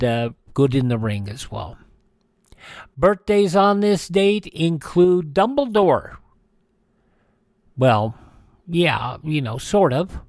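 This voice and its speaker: An older American man hosting a radio show, chatting casually through dates and birthdays with rambling, folksy asides and hesitant pauses. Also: medium pitch, a little mellow, dry recording, clean studio sound. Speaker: radio host.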